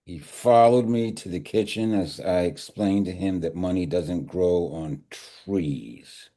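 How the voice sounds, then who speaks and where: A man's voice: low-pitched, slow pace, warm tone. A man playing an aging, devoted father who grieves but reassures, in a live screenplay table read. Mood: searching, musing. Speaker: actor reading a script.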